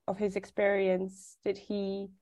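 A woman conducts an oral history interview. She asks her questions politely and deliberately. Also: medium pitch, monotone, darkish tone.

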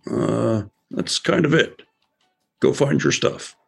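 A gravelly, patient cartoon elephant. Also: male voice, mid-range voice, very animated, slow pace, faint music behind, full character voice. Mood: bargaining. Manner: patient, explaining, mildly fussy. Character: patient, sensible male elephant. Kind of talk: children's cartoon voice acting.

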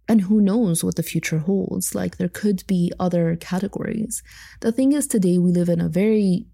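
Thoughtful woman podcaster, mellow low voice. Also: creaky, slightly rough. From Egypt. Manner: thoughtful, with light laughs and asides. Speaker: podcast host. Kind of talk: reflective solo podcast talk.

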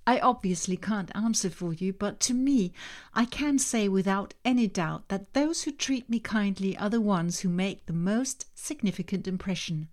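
A woman whose first language is Danish, reading her own self-help podcast in a calm, measured way with slow emphasis on key words. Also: medium pitch. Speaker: podcast host and author. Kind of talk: scripted self-help narration.

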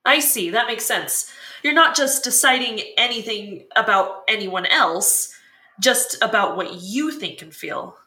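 An eager curious lady in a podcast drama, bright n bouncy, echoey room. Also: on the high side, lively intonation, measured pace. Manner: inquisitive, reactive. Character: eager, curious, sympathetic woman asking questions. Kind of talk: scripted fiction podcast dialogue, interviewing. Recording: roomy echo.

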